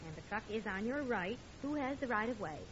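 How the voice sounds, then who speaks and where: A woman playing an exasperated, sharp-witted American wife and mother in a 1950s radio sitcom, dry and reproving. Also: on the high side, lively intonation, fairly quick, natural voice. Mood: testing, impatient. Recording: dull old radio recording, faint noise.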